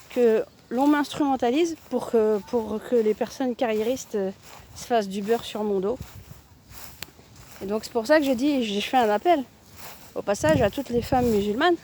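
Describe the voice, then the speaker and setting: A woman's voice: fairly high pitch, warm tone, a little hiss or hum. A woman from France talking on an outdoor walking vlog, emphatic and repetitive, often restarting her words. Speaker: vlogger. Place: outdoors on a walk in a town.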